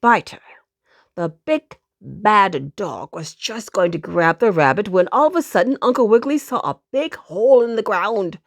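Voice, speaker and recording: A woman's voice: mid-range voice, lively intonation, normal speed, creaky, no room sound. A woman narrating a children's storybook expressively, speaking directly to young listeners with dramatic emphasis.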